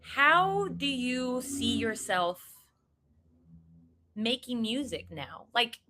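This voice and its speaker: A woman's voice: a little high, sing-song, very slow, background noise. A woman from Puerto Rico hosting a podcast, chatting casually and reacting eagerly, sometimes losing her train of thought. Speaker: podcast host. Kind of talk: casual interview chat.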